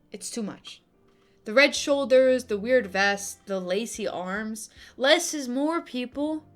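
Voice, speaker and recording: A young woman delivering opinionated, scripted video-essay commentary with casual asides, in an expressive, emphatic manner. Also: fairly high pitch. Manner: expressive, emphatic, gushing and griping. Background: background music.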